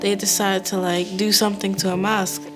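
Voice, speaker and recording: Even-toned young woman. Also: medium pitch, fairly quick. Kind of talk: casual discussion. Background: music.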